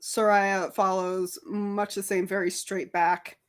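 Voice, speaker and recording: A woman's voice: mid-range voice, little pitch movement, slow pace. A woman playing a tabletop roleplay game, talking casually as she plays her character.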